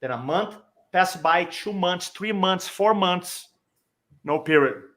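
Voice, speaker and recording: A man with a Brazilian accent and a fairly high-pitched voice tells personal stories in a conversational way. He is a native Portuguese speaker talking as a guest speaker and coach, moving along in short phrases and acting out bits of dialogue. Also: lively intonation, takes it slowly, fairly bright tone.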